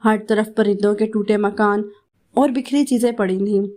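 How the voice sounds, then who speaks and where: A woman's voice: mid-range voice, steady tone, moderate pace, darkish tone. A woman giving scripted narration of a children's moral story.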